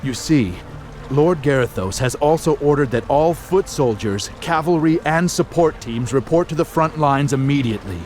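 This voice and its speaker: An actor playing a formal military envoy delivering orders with dry, smug understatement in a fantasy video game. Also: male voice, on the high side, animated, moderate pace, a little mellow, lightly acted. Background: faint game music.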